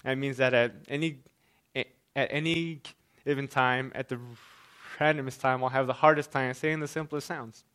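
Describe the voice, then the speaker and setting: A man's voice: mid-range voice, steady tone, normal speed, fairly bright tone, clear, resonant tone. A man who stutters gives a personal talk to an audience, often repeating sounds and restarting his words. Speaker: speaker giving a stage talk.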